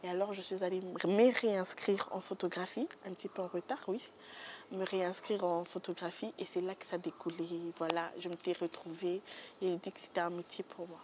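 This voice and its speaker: A young woman with a Congolese French accent answers interview questions in a studio. She speaks softly and hesitantly, filling pauses as she goes. Faint background noise runs under the recording. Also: on the low side, steady tone. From Democratic Republic of the Congo. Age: young adult. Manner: hesitant, many fillers, soft-spoken. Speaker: guest photographer.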